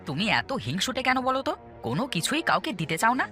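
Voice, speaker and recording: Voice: medium pitch, sing-song, quick pace, pushed and bright, soft background music. An actor voicing an exasperated village husband in a children's cartoon, pleading and reproachful. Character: exasperated village husband, scolding his wife. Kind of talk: Bengali children's cartoon voice acting.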